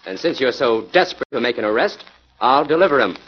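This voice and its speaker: An actor playing a self-assured, decisive crime-fighting sleuth in a 1940s radio drama, speaking in a brisk, commanding manner. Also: male voice, mid-range voice, expressive, lightly acted. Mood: assured, firm. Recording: muffled, noisy 1940s radio recording.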